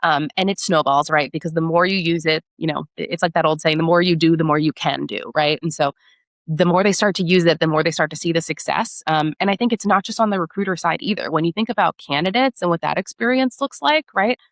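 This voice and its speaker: Woman guest on a podcast, lots of vocal fry, explains stuff conversationally, really dry sound. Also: low-pitched, fast, no echo. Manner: explanatory, often checks with "right?". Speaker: podcast guest, product marketing director. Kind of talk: conversational interview.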